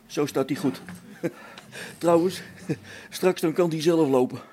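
A man's voice: fairly high pitch, unhurried, warm tone, a little hiss or hum, close to an everyday voice. A man playing a practical, down-to-earth space-station technician in a radio play, brusque and colloquial. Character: practical, matter-of-fact male space-station technician, mildly irritable. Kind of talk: Dutch radio play acting.

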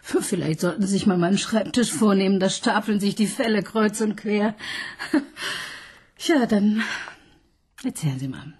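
A woman's voice: medium pitch, expressive, unhurried, pauses often, a little mellow, breathy edge. An actress playing an ironic, coaxing female police inspector in a radio play, often dropping into a whisper. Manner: teasing, coaxing, mocking. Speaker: police detective.

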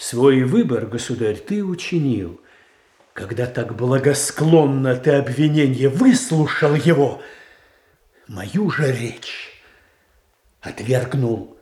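An actor in a radio play playing a proud, calculating royal statesman with a formal, measured, firm manner. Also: medium pitch, lively intonation, darkish tone, roomy sound. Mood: wounded dignity. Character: proud, controlled, calculating statesman, the tsar's brother-in-law. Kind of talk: theatrical radio drama acting.

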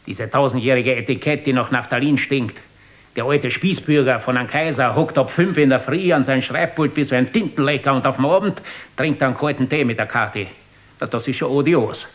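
An actor playing a cynical, flippant Austrian aristocrat in a radio drama, sardonic and drawling, with an Austrian accent. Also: male voice, mid-range voice, brisk, lightly acted. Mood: scornful, derisive.

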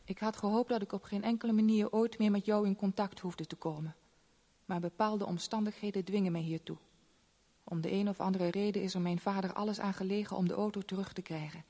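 An actress playing a proud, bitter young rich woman, speaking in a measured, cold, solemn way in a 1970s radio play. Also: mid-range voice, fairly even intonation, mellow, lightly acted. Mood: cold, restrained. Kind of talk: 1970s Dutch radio play letter monologue. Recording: long reverb on old radio drama.

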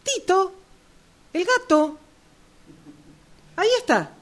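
A woman's voice: extremely high pitch, sing-song, takes it slowly, heavily acted. A woman playing a discreet, bossy shopkeeper in an amateur radio play, with a formal, theatrical manner.

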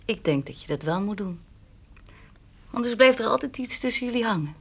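An actress playing a calm, frank, independent woman in a radio drama, plain-spoken and gently teasing. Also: mid-range voice, a little fast, vocal fry, husky. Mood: gentle, reassuring.

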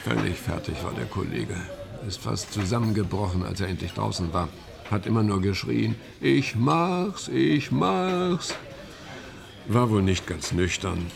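Sardonic gossiping official, gravelly voice. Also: male voice, fairly low pitch, lively intonation, unhurried, a crowd in the background, full character voice. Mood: wry. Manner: sardonic storyteller, acts out quoted rants. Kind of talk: German radio drama acting.

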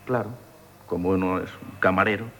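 A man's voice: deep voice, steady tone, brisk, a bit bright. An actor playing a jealous, touchy waiter in radio theatre, sullen and stumbling over his words. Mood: flustered, sulky. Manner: sullen, stammering repetitions. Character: jealous, sulky, touchy waiter in love with mistress. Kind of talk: 1970s radio theatre acting. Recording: dull, noisy old radio broadcast.

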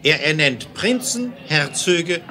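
An actor playing a cautious German associate of a railroad boss in a 1970s audio drama. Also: male voice, fairly high pitch, sing-song, slow pace, noisy background. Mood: mocking report.

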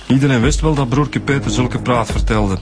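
A man's voice: mid-range voice, moderate pace, music in the background, lightly acted. An actor playing a sceptical, dry male police detective in a conversational radio drama, with a Flemish accent. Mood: dismissive, dry.

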